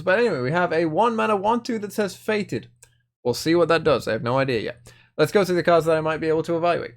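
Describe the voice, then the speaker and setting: Young man, casual card review, chatty, hedging, fairly high voice, very lively pitch. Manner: chatty, hedging, thinking aloud with many fillers. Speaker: card game video host.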